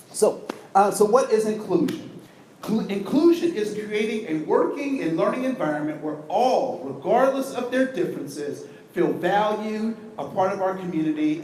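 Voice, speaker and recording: A man giving an energetic, interactive diversity training talk, asking the audience questions. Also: high voice, echoey room, slight background noise, rough recording. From United States.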